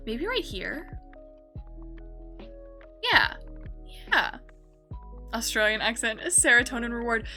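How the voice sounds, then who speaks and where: Bright, chatty young woman streamer. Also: fairly high pitch, very animated, slow, long pauses, faint music behind. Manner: rambling, chatty, self-correcting with many fillers. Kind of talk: casual chat while playing a building game.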